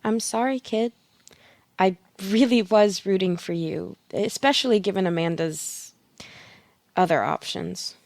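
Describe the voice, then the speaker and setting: A woman playing a knowing tarot reader in an audio play, speaking in a measured, deliberate manner. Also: mid-range voice, creaky, a little mellow, dry recording. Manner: measured, pronouncing readings slowly.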